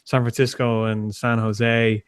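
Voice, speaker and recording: An Irish man on a chat panel, speaking haltingly with many uhs. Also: medium pitch, level tone, clear, resonant tone, no echo, studio quality. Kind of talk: casual panel discussion.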